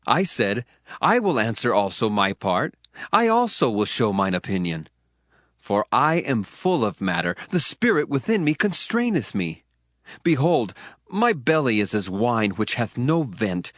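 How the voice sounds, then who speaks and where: A man's voice: medium pitch, big pitch swings, measured pace, fairly bright tone, no room sound. A man playing Elihu, young and indignant. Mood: earnest. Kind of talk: dramatized scripture reading. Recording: dry voice, faint music underneath.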